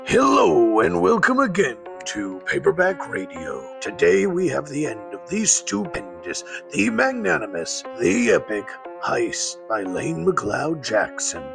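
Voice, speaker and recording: A man's voice: a little high, wildly swooping pitch, measured pace, gravelly, hardly any pauses, music in the background. A man introducing a show as its host in a scripted, theatrical, grandiose style. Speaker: podcast host.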